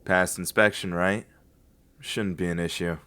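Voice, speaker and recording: An actor playing a dry, curt spaceship crewman in a game dub, terse and deadpan. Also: sits low, measured pace, slight background noise. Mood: matter-of-fact. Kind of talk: game fandub voice acting.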